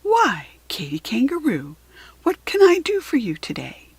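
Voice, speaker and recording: Emotional mother crocodile played by a woman, swooping pitch. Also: huge pitch swings, unhurried, breathy edge, no echo, heavily acted. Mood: friendly. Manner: emotional, exclaiming. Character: big mother crocodile, obliging, easily moved to tears.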